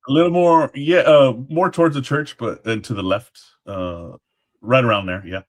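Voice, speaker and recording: A man speaking as an interview guest, explaining history casually in halting phrases with trailing asides. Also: mid-range voice. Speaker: guest, former Alamo guard.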